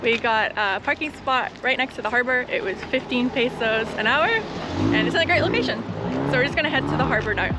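A woman's voice: on the high side, huge pitch swings, a little fast, very noisy. A woman in her thirties narrating a casual travel vlog, chatty and upbeat, filming herself at arm's length outdoors and in a van. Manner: upbeat, chatty, explaining plans to camera. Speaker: travel vlogger. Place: outdoors at a beach and harbor, also inside a camper van. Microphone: handheld camera at arm's length.

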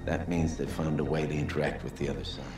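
An actor playing an earnest middle-aged scientist in a dramatic video game, speaking with grave, pleading persuasion. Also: male voice, extremely low pitch, level tone, quick pace, over music. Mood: grave, persuasive.

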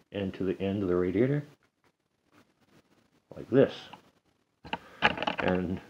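A man's voice: low voice, vocal fry, highs cut off. A man casually explaining an antenna build at home while he works, chatting to himself and the radio as he goes. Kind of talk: casual how-to narration. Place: home, indoors at a table.

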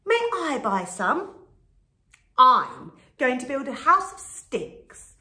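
Squeaky, excitable little pigs, which a woman puts on for a storybook reading, young and plucky. Their voices leap high with lively swoops, bright and echoing in a roomy space. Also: takes it slowly, full character voice. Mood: eager. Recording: echoey room.

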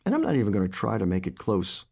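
A man's voice: on the low side, wildly swooping pitch, fast, gravelly, darkish tone, heavily acted. A man putting on a cocky teenage boy. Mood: brash.

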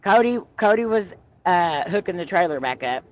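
Woman, chatty storyteller, animated, low voice, muffled call audio. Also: normal speed. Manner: chatty, animated, laughs while telling stories. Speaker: host of a paranormal live stream. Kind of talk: casual storytelling chat. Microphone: video call.

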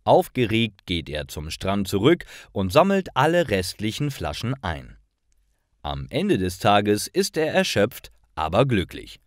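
A man reading scripted stories for language learners, with careful, deliberate enunciation. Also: fairly low pitch, wildly swooping pitch, dry recording, studio quality. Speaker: language-course narrator.

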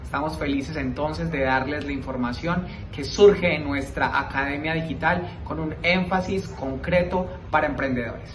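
A man giving an upbeat, emphatic promotional pitch outdoors on a balcony. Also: normal speed, few pauses, roomy sound, far from the mic, low-quality recording. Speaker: entrepreneur. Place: balcony with city view.

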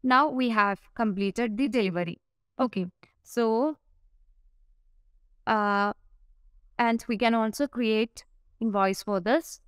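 A woman narrating a step-by-step software tutorial over a screen recording, pausing often with "uh" fillers. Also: on the high side, clean tone, no echo, clean studio sound. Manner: explains step by step with frequent "uh" fillers.

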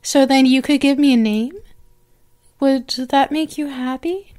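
A woman's voice: high-pitched, steady tone, a little slow, a little mellow. A woman voicing a possessive, eager young lamia girl in an ASMR roleplay, coaxing and hesitant, often restarting her phrases. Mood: shy, earnest.

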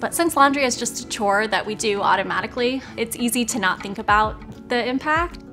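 A woman's voice: on the high side, fairly quick. Woman talking about her small business, conversational and earnest, doc interview style, music playing behind her. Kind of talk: documentary interview voice-over. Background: background music.

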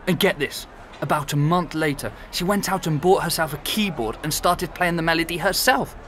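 An actor playing a chatty, laughing young ex-flatmate. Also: male voice, fairly high pitch, normal speed, natural voice. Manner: chatty, laughs while telling. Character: chatty young man, ex-flatmate of a missing student. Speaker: former flatmate. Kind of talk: conversational audio drama acting.

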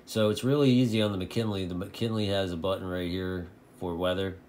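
A man vlogging casually about his hobby in a folksy, halting, repetitive way. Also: low voice, fairly even intonation, a little mellow. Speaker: video host, radio hobbyist. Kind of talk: casual rambling vlog talk. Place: indoor home radio shack. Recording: clearly noisy home recording.

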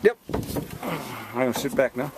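A man's voice: medium pitch, animated, moderate pace. A man chatting casually out on a small fishing boat. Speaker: recreational fisherman running the boat. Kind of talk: casual chat. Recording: very loud wind and boat noise.

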